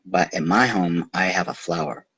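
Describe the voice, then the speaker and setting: A man's voice: low-pitched, moderate pace, a bit bright, slightly rough, no room sound, rough recording. A man voicing a lonely, wondering little prince in a bedtime storybook, plaintive, polite and naive. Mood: puzzled.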